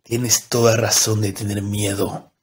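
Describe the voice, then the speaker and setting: A man voicing a menacing, deliberate killer with a husky voice in an amateur comic anime dub. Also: lowish voice, little pitch movement, raised voice, slight background noise, close to an everyday voice. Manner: slow, threatening, deliberate.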